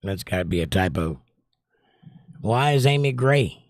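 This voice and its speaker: A slightly hoarse middle-aged man hosting a livestream, chatting casually and teasing his viewers as he reads their comments. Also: lowish voice, expressive, a little slow, dry recording, studio quality. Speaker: livestream host. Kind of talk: casual chat reading viewer comments aloud.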